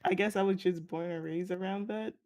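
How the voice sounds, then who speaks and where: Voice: medium pitch, fairly even intonation, a little fast, darkish tone, no room sound. A person in a casual group chat, talking hesitantly with trailing ums.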